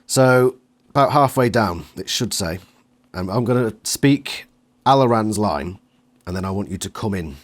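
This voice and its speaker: A man's voice: fairly low pitch, animated, a little slow. Man conducting a casual interview.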